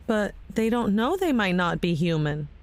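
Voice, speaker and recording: A woman's voice: mid-range voice, very animated, normal speed, close to an everyday voice. A woman playing a shaken, disbelieving character, anxious and questioning, in an audio drama. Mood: worried. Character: shaken, disbelieving woman fearing for loved ones.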